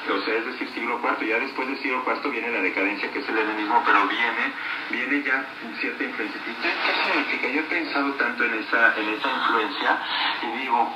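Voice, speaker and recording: A man's voice: medium pitch, fast. A man in spontaneous conversational discussion on a shortwave radio broadcast. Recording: very noisy, echoey, distant shortwave radio.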